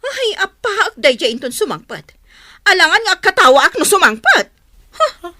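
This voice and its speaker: A woman's voice: very high voice, sing-song, normal speed, pushed and bright, exaggerated voice. A woman playing a nagging, exasperated wife and mother who scolds and complains in an Ilocano comedy radio drama.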